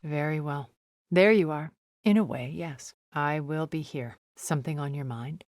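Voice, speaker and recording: An actress playing a poised, cultured, wealthy woman in a video game, speaking in a measured, formal, refined way. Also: low-pitched, darkish tone, dry recording, clean studio sound. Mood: composed. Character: poised, cultured, wealthy woman, a thousand years old, sounding middle-aged. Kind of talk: video game character dialogue.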